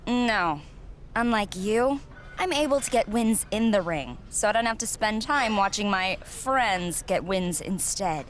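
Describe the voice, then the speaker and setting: A woman's voice: medium pitch, expressive, unhurried, faint music behind, lightly acted. An actress playing a scornful, cocky female wrestling rival in a video game cutscene, with a sarcastic, mocking delivery.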